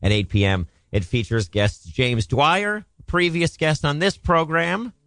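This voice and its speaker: A man's voice: a little high, measured pace, fairly bright tone, dry recording, clean studio sound. A man hosting a casual comedy podcast, playful and joking, laughing often. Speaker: podcast host.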